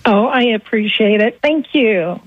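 Hoarse woman caller on a tinny phone line. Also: fairly high pitch, animated, a little slow. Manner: polite and grateful. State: losing her voice.

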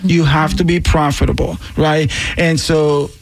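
A woman's voice: sits low, fairly even intonation, a little slow, gravelly. A woman with a Puerto Rican accent, a guest on a radio panel, explains a training program earnestly, with many fillers along the way.

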